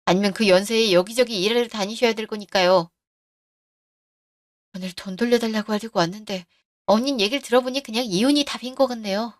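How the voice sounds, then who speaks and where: Brief: woman storyteller, fairly high voice, calm and firm, dry close recording. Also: fairly quick, dry recording. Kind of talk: scripted radio-story narration.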